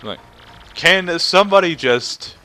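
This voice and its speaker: A man's voice: high voice, expressive, takes it slowly, sharp tone, soft background music. A man hosting a let's play with casual, rambling, goofy commentary over a video game. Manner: rambling, goofy, breaks into put-on voices.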